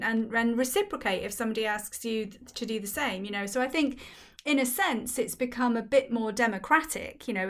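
A woman from Wales, a business founder, speaking articulately as a guest in a casual interview. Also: a little high. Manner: articulate and fluent.

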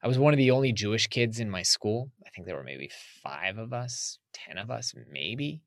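Low-voiced man talking reflectively. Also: lively intonation, moderate pace, dry recording, studio quality. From United States. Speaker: podcast guest. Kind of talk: casual interview talk.

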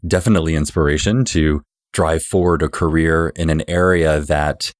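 A man with a deep, low voice hosts a workplace podcast. He opens with a scripted welcome and then moves into earnest, conversational questions for his guest. The sound is dry and close. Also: steady tone, normal speed, no echo. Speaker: podcast host.

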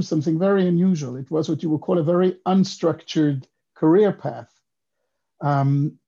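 A man in his fifties from Switzerland speaks as a podcast guest, with a somewhat higher voice for a man and a gravelly, husky edge. His tone is dark and mellow, and he tells his story in a reflective, unhurried way. The sound is dull and lo-fi, like a remote call. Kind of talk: casual interview talk.

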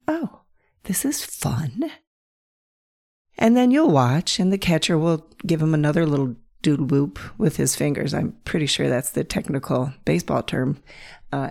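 Croaky-voiced woman hosting a podcast. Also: sits low. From north central Pennsylvania. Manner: gentle, playful storytelling with soft laughs. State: hoarse from bronchitis, croaky with cracks. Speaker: podcast host. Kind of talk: reflective solo podcast monologue.

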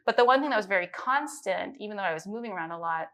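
A woman's voice: medium pitch, animated, rapid-fire, fairly bright tone. A woman in her late thirties, born in China and raised in the United States, giving a reflective, detailed account of her life as an interview guest. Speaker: guest, chief science officer. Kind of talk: conversational interview.

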